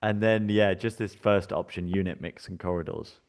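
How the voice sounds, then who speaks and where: British man, very low voice, software demo presenter, casual with fillers. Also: moderate pace. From United Kingdom. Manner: relaxed, chatty, with frequent fillers and restarts. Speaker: workshop presenter. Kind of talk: live software demonstration.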